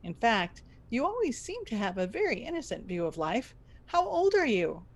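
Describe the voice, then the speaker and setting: Radio station boss, played by a woman, female: earnest, frazzled, theatrical audio comedy, higher register, very animated. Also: moderate pace. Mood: wry. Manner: theatrical, exasperated, wry. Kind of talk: scripted audio comedy acting.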